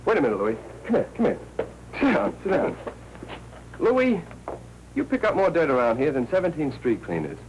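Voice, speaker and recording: A man's voice: on the high side, sing-song, normal speed, pauses often. An actor playing a jittery, snappish American newspaperman in 1940s film noir style, terse and slangy. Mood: urgent, insistent. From United States. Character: jittery, snappish newspaperman worried over a missing girl. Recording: noisy, crackly 1940s film soundtrack.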